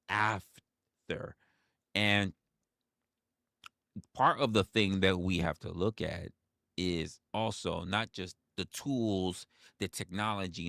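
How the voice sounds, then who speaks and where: A man in his forties holds forth on a casual livestream in a low, heavy voice. He leans hard on his points, repeats phrases and swears freely. The sound is dry and close, with no room around it. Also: vocal fry, a bit bright, no echo. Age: 40s. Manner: emphatic, repeats phrases, profane. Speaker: livestream host.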